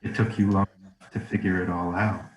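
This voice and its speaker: An actor playing a man's reanimated corpse possessed by a sadistic killer, speaking slowly and with menace in a script read. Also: deep voice, flat delivery, echoey room, a little hiss or hum, lightly acted. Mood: sinister, gloating. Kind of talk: screenplay table read.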